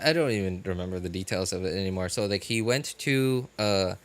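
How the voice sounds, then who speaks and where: Low-voiced man, halting and rambling. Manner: halting, rambling, repeats phrases. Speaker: livestream host. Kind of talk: casual unscripted chat with stream viewers.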